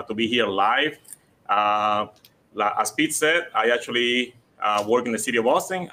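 Bright-voiced man. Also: mid-range voice. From Puerto Rico. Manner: earnest, repeats words and says "uh" often. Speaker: guest, city code department director. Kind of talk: live interview, conversational. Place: convention center.

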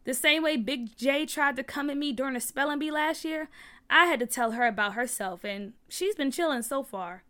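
Woman as young schoolgirl, very high voice, sassy, upbeat, slangy, supportive friend, storybook. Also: normal speed, bright, forceful tone.

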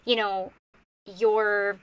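A woman's voice: medium pitch, little pitch movement, drawn-out words, clean tone, low-quality recording. A woman on a podcast musing aloud in a searching, hesitant way, laughing now and then. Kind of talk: reflective casual conversation.

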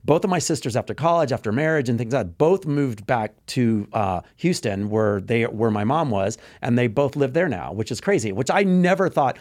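A young adult man from Texas hosting a podcast, chatting quickly with many fillers and restarts. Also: mid-range voice. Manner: fast, animated, frequent fillers and restarts. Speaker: podcast host. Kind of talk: casual interview chat.

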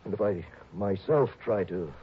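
An actor playing an anxious middle-aged stockbroker who is being blackmailed, fretful, pleading and refined, in a 1960s radio drama. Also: male voice, low voice, expressive, normal speed, breathy edge, phone-quality sound, full character voice. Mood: desperate.

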